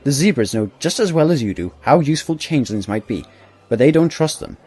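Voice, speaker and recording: A man playing a cautious, loyal stallion ambassador in an audio drama, measured and wary. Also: a little high, expressive, a little mellow, husky. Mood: firm.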